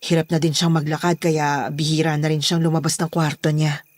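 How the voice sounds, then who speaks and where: A woman's voice: sits low, level tone, brisk, slight background noise, natural voice. A woman with a Filipino accent playing a protective, bossy daughter running a household in a horror audio drama. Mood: guarded.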